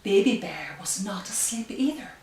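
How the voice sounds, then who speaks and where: A woman librarian speaking at story time for young children, with careful, deliberate phrasing and pauses. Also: mid-range voice, expressive, darkish tone. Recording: roomy, distant, faint hiss.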